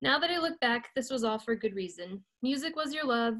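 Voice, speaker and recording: A woman reading her own poem over a video call, earnest and steady. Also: on the high side, fairly quick. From United States. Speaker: poet.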